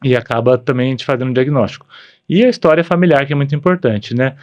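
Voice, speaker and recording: A man with a Brazilian accent speaks as a medical specialist in a podcast conversation. His voice is mid-pitched for a man, and he explains things in an informal, practical way. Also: fast talker, darkish tone, dull audio. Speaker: podcast guest, ENT doctor.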